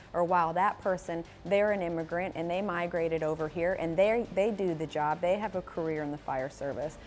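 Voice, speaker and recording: Brief: woman recruiter, low-pitched, earnest tone, soft music underneath. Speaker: fire rescue recruitment spokeswoman. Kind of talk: promotional interview talk.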